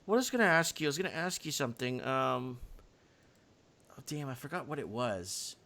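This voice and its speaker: A man hosting a live call-in stream, chatting casually and unscripted in a halting, rambling way. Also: mid-range voice, expressive, gravelly, sharp tone.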